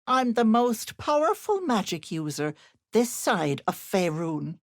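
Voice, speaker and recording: An actress playing a sly old hag who poses as a sweet auntie in a video game, cooing and wheedling with menace underneath. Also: female voice, mid-range voice, very animated, slow. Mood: sly, coaxing. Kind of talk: theatrical video game character acting.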